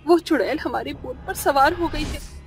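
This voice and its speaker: A woman's voice: sits high, normal speed, faint music behind. A woman voicing a romantic, anxious young newlywed wife in a cartoon. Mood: worried. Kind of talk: Hindi cartoon dialogue.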